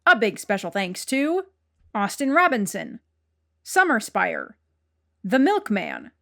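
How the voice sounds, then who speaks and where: A woman's voice: mid-range voice, very animated, takes it slowly, vocal fry, fairly bright tone. A woman hosting a podcast, reading scripted announcements to listeners. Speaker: podcast host and producer.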